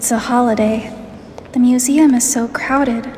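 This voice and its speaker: High-voiced shy, curious young heroine, acted by a woman. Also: steady tone, a little slow, darkish tone, a little hiss or hum, close to an everyday voice. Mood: hesitant, polite. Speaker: voice of the player heroine, fan narration. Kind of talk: acted visual novel dialogue and inner monologue.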